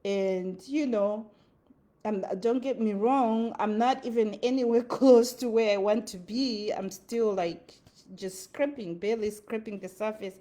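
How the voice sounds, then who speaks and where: A woman's voice: fairly high pitch, unhurried, dark tone. A woman with a Zimbabwean accent giving an earnest motivational talk, repeating her phrases for emphasis. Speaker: motivational speaker. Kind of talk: motivational monologue.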